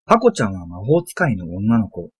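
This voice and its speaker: A man's voice: lowish voice, wildly swooping pitch, brisk, slightly rough. Man, narrator, scripted storybook delivery, comic radio drama.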